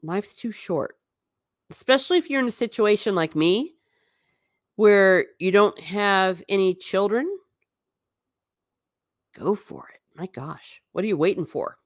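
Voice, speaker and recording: A woman's voice: medium pitch, lively intonation, a little slow, pauses often, dry recording, studio quality. A middle-aged woman chatting on a stream about travel photos, rambling and self-deprecating. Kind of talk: casual stream commentary.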